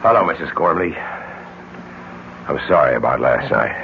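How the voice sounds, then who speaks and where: An American actor playing a tense, determined man in a radio mystery drama, speaking deliberately with emphatic repetitions. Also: a bass voice, lively intonation, creaky, exaggerated voice. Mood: earnest, explaining. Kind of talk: old-time radio mystery drama acting. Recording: muffled, noisy vintage radio drama recording.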